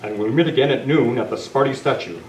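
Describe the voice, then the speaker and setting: A man's voice: mid-range voice, quick pace, lightly acted. A man playing an upright, earnest masked lawman in an old-time radio western, with a formal heroic manner. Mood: resolute. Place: club meeting room, live presentation. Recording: echoey, noisy room, distant mic.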